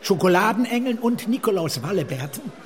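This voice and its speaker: An actor playing an earnest, declamatory East German communist functionary in a literary radio play, with a husky voice. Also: male voice, sits high, big pitch swings, fairly quick. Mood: earnest argument.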